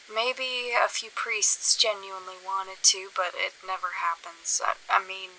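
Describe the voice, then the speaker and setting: A woman playing a weary, pragmatic confidante in an audio drama, speaking frankly and with measured pauses. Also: mid-range voice, creaky, sharp tone, rough recording. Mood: jaded. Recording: faint hiss.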